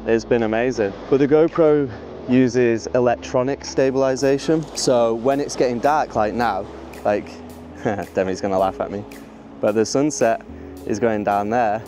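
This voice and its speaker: A man's voice: medium pitch, a little slow, warm tone, faint music behind. A young man vlogging outdoors on a rocky beach with a clip-on mic, eager and rambling, laughing at himself. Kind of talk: excited casual vlog. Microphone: small clip-on mic.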